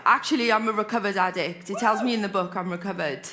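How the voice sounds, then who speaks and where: A woman's voice: medium pitch, fast, noisy background. A Welsh woman in her forties giving a candid, rambling personal share as a speaker at a recovery convention, often restarting her sentences. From Wales. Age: 40s. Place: convention hall stage.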